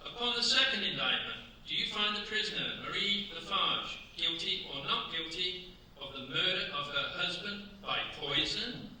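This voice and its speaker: A man's voice: high-pitched, animated, normal speed, bright, forceful tone, exaggerated voice. A man playing a stern, commanding official in a staged radio play, declaiming formally. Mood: stern, solemn. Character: stern, commanding French judge and prison governor. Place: theatre stage before a mic. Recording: very echoey hall, distant mic, noise.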